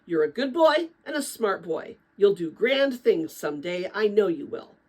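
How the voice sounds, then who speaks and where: Kind middle-aged father for an audiobook, read by a woman. Patient, encouraging, animated intonation, a touch of husk. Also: mid-range voice, moderate pace. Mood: warm encouragement.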